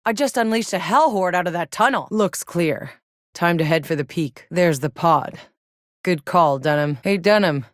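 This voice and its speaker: A woman's voice: lowish voice, normal speed, no room sound, studio quality, close to an everyday voice. A woman playing a tough, sardonic, battle-hardened soldier in a sci-fi video game, with a wry and blunt way of talking. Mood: matter-of-fact, focused.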